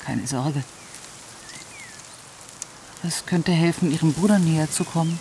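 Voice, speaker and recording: Calm, probing therapist, radio play; lighter pitch, soft questions, noisy surroundings. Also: male voice, unhurried. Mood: calm, inquiring. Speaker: actor in a radio drama.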